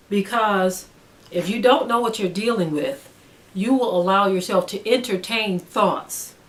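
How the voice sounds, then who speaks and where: A middle-aged woman giving informal spiritual teaching in an earnest, deliberate manner, pausing between short phrases. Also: fairly low pitch. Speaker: religious teacher.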